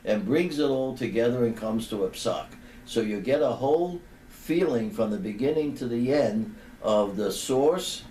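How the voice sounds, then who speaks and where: A man over eighty, a rabbi reminiscing in a deliberate, halting way with pauses between phrases. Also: on the low side, dark tone. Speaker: rabbi giving a personal remembrance. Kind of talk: unhurried reminiscing tribute talk. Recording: roomy, distant microphone, background noise.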